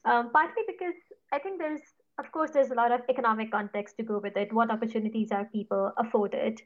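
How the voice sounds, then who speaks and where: Punjabi-native woman guest, fairly high voice, weighs each point with care; muffled recording. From Punjab, India. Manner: thoughtful, measured, careful hedging. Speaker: guest, writer on mosses. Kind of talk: interview.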